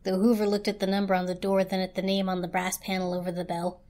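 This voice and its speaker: A woman's voice: medium pitch, level tone, quick pace, darkish tone. A woman narrating a children's story as a dramatic reading.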